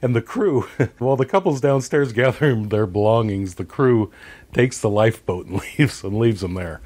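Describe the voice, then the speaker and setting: A man talking casually as a podcast guest, explaining things in a halting way and correcting himself as he goes. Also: mid-range voice, animated, a little mellow. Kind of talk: casual conversational movie discussion, retelling a story.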